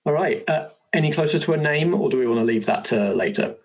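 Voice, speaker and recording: A man's voice: fairly high pitch, expressive, quick pace. A man hosting a casual interview chat at a roleplaying game, asking questions. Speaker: host, compère of character creation session. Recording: slightly dull, lo-fi sound.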